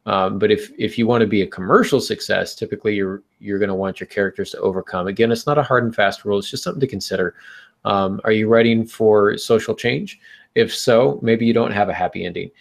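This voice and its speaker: A man's voice: fairly low pitch, fast, vocal fry. A man co-hosting a podcast, giving advice in an informal, emphatic way and often interrupting himself. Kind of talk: conversational advice talk.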